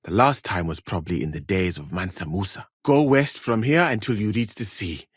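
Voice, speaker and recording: Learned medieval physician, lowish voice, slightly husky, dignified, formal, dry wit, video game dialogue. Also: male voice, dry recording. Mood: storytelling, composed. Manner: measured, polite, formal, articulate.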